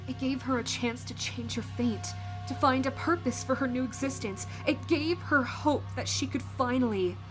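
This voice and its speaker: A woman giving solemn, deliberate dramatic narration of a fantasy story, with weighty pauses. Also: sits high, poor audio. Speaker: narrator and voice actor. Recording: prominent music under the voice. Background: music.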